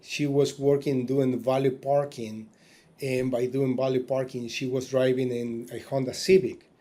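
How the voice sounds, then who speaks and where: A man's voice: mid-range voice, flat delivery, a little slow, dark tone, a little hiss or hum. A man, a guest telling his life story with many fillers and restarts. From Argentina. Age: 40s. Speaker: podcast guest. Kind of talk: casual interview talk.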